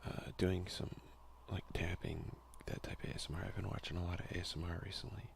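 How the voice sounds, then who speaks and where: A man speaking softly, at times in a breathy half-whisper, in a casual, hesitant ASMR let's play on a close headset mic. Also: low voice, flat delivery, a little fast, slight background noise. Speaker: gaming ASMR video creator. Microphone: close headset boom mic.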